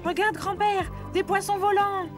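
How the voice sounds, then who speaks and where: A man's voice: extremely high pitch, fairly even intonation, moderate pace. A man voicing an earnest, eager young boy adventurer in a dubbed cartoon. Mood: excited. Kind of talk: dubbed low-budget cartoon dialogue. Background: music.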